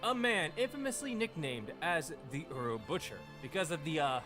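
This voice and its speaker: A man's voice: on the high side, animated, normal speed. A man reading a scripted video game review, mixing dramatic wording with crude jokes. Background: music.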